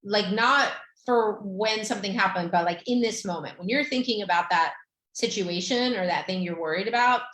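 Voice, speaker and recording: A middle-aged woman, a Romanian native speaker, hosting a podcast as a therapist, gently guiding listeners through a tapping exercise with many fillers. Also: mid-range voice, moderate pace, reverberant, low-quality recording.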